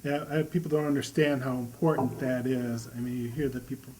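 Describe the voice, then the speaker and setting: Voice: medium pitch, fairly even intonation, brisk, a little mellow. A co-interviewer chatting casually and joining in on the discussion. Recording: voice distant from the mic.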